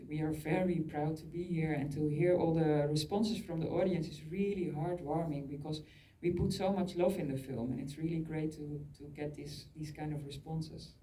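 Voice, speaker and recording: A woman's voice: deep voice, fairly even intonation, normal speed, hardly any pauses, mellow. A woman from the Netherlands, a film producer, speaking matter-of-factly at a film Q&A. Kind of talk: answering at a film Q&A. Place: large cinema auditorium, on stage. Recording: echoey hall.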